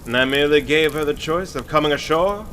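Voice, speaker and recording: Man acting ruthless criminal boss, tense bright voice, sneering, domineering, melodramatic old radio drama, faint background noise. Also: a little high, fast, lightly acted. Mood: cold, gloating. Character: Burkett, ruthless, scornful male criminal boss.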